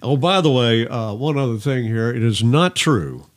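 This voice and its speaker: A man's voice: medium pitch, normal speed. An older man chatting casually as a podcast co-host, folksy and jokey. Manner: folksy, jokey, prone to chuckling. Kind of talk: casual chat.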